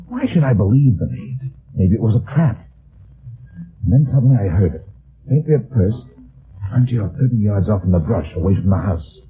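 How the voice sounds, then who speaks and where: A man's voice: on the low side, slight background noise, natural voice. An actor playing a wry, wary, heavyset private detective, narrating his case tersely in a radio drama. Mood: brooding, wry. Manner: deliberate, terse, dry storytelling. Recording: old phone-like radio recording, music behind.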